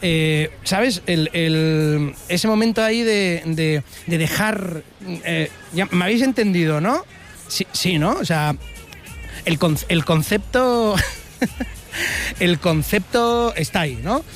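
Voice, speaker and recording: A man's voice: high voice, expressive, takes it slowly, faint music behind. A man hosting a radio show, doing playful, teasing street interviews outdoors on a city street with a mobile unit. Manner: playful and teasing, with many fillers.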